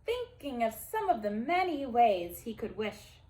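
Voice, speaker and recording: A woman reads a story aloud for young children. Her voice is fairly high and she goes slowly, with deliberate emphasis and simple asides to the listeners. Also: sing-song, warm tone.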